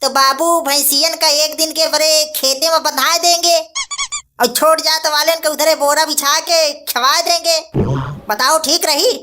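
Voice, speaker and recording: A woman's voice: high voice, steady tone, unhurried, noisy background. A woman playing a practical, hesitant village father in a cartoon comedy, reasoning and bargaining. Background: music.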